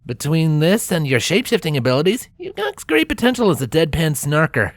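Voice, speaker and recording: A woman's voice: sits low, very animated, brisk, no room sound, clean studio sound, voice pushed up. A woman voicing a young male dragon, a put-upon helper who talks in a casual, snarky way. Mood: wry, friendly.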